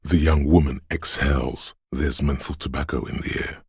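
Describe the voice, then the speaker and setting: Very deep gravelly brooding city voice. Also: male voice, steady tone, moderate pace, no room sound, clean studio sound, full character voice. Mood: brooding, solemn. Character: brooding, omniscient male inner voice of a rain-soaked city. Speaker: video game narrator. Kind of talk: slow literary second-person game narration.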